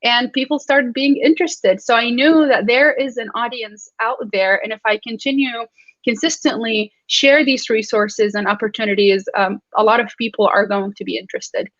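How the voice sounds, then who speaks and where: A woman's voice: on the high side, steady tone, normal speed, fairly bright tone, no echo. Iraqi-accented woman, dry lo-fi audio. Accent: Iraqi Arabic. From Iraq. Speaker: science communicator panelist. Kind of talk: casual panel talk. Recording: dull dry lo-fi sound.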